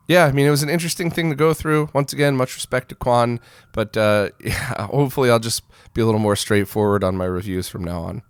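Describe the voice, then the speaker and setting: A man with a clean, smooth voice presents gaming news and reviews as a show host. His delivery is informal and conversational, and he readily gives his own opinion. The sound is dry, with little trace of room echo. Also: medium pitch. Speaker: host of a weekly retro gaming news roundup. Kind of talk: casual news and review commentary.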